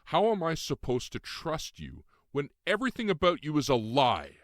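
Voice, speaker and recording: A man's voice: fairly high pitch, wildly swooping pitch, moderate pace, dry recording, clean studio sound, pitched above the performer's own voice. A man voicing a distrustful, emotional male protagonist in an audiobook, with a questioning, wary manner. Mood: anguished, loud. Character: distrustful, emotional man narrating his own story. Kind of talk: audiobook character dialogue.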